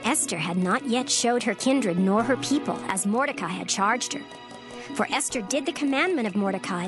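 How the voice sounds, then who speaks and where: A woman narrator reading dramatized scripture in a measured, formal storytelling manner. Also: a little high.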